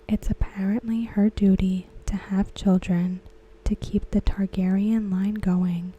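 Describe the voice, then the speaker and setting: A woman whispering softly and slowly as she recaps and discusses a TV episode for an ASMR video. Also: medium pitch, flat delivery, rough recording. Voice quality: breathy. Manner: slow, soft whispering. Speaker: ASMR creator. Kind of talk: soft-spoken ASMR recap.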